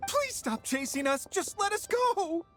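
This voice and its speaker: A woman's voice: very high-pitched, very animated, unhurried, soft background music, full character voice. A woman playing a panicked youngster in a video game, urgent and pleading.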